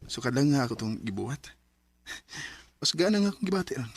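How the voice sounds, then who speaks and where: Husky, earnest man confessing love. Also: medium pitch, big pitch swings, brisk. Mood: earnest, relieved. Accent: Cebuano. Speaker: radio drama actor. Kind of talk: Filipino radio drama acting.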